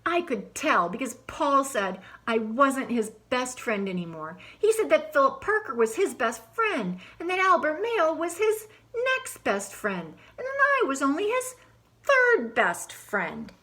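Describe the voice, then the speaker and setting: A woman's voice: high-pitched, very animated, a little slow, sharp tone, pitched above the performer's own voice, heavily acted. A woman reading the lines of a grumpy young boy from a picture book, complaining and emphatic. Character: grumpy young boy having a bad day. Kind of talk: picture book read aloud.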